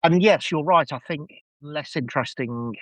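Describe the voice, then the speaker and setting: A man's voice: a little high, normal speed, dry recording. A man talking as a podcast guest in casual discussion, enthusiastic and emphatic with a wry edge.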